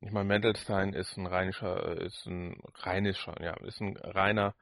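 A man's voice: low-pitched, little pitch movement, normal speed, creaky, a bit bright, no echo, studio quality. A man co-hosting a podcast, commenting casually and often repeating and rephrasing his points. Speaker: podcast co-host.

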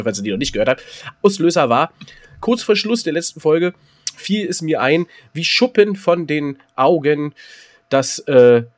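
A man co-hosting a casual comedy podcast, joking and animated, often restarting his sentences. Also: medium pitch. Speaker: podcast co-host.

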